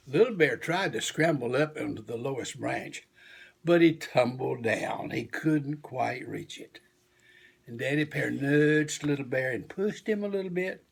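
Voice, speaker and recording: A man reading a children's storybook aloud in an expressive, homely storytelling manner. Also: medium pitch, vocal fry, husky. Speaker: storybook reader.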